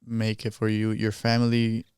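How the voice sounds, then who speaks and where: A man's voice: lowish voice, completely flat delivery, moderate pace, darkish tone, clean tone, no echo. A young man from Colombia hosting a casual podcast in informal, slangy talk on a close studio microphone. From Colombia, partly grew up in the United States. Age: young adult. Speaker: podcast host. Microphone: close boom-arm studio microphone.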